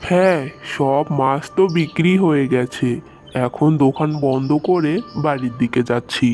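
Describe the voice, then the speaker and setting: A man voicing a gentle, hardworking village fishmonger and doting father in a cartoon, speaking in a soft-hearted, reassuring way. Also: medium pitch, normal speed, soft background music, natural voice. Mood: resigned, earnest. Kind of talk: Bengali moral-tale cartoon dub.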